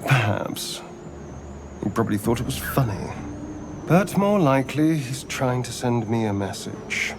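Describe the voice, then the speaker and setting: An actor voicing a haughty, anxious vampire spawn on the run in a video game, theatrical and sardonic. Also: male voice, on the low side, gravelly, noisy background, full character voice.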